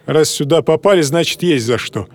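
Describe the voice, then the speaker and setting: An actor voicing a fat, sneering prison jailer with folksy mock politeness in an audio drama. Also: male voice, a little high, moderate pace, lightly acted. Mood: smugly menacing. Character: fat, mocking old-time prison jailer, falsely servile. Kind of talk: audio drama character acting.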